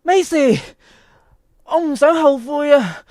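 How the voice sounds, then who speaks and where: A woman acting a lovestruck, excitable and cheeky teenage schoolboy in a youth radio drama, with a Hong Kong accent. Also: high voice, slow pace, background noise. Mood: earnest, nervous.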